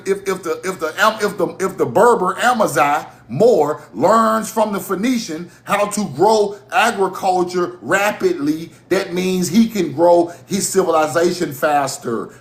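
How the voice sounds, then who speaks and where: A man's voice: high-pitched, echoey room. A man from Mississippi, hosting a livestream and delivering a heated, profane monologue with bursts of laughter. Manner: animated, profane, laughing rants. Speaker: livestream host.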